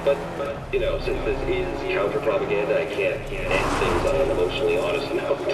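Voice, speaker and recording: A man playing a cheeky, sardonic rebel who broadcasts taunting propaganda over a loudspeaker in a video game, with loose, halting comic timing. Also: lowish voice, expressive. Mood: teasing. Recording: echoing, distant loudspeaker with background noise.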